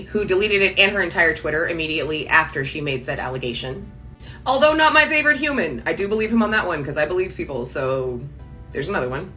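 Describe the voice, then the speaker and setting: A young woman hosting a casual reaction commentary, sarcastic and quick with asides. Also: fairly low pitch, bright, forceful tone. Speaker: commentary channel host.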